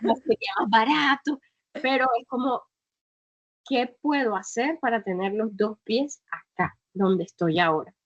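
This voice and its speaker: Reflective woman, slightly high voice. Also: a little slow, muffled recording. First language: Spanish. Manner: reflective, many fillers and restarts. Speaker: guest, travel entrepreneur. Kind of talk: conversational interview.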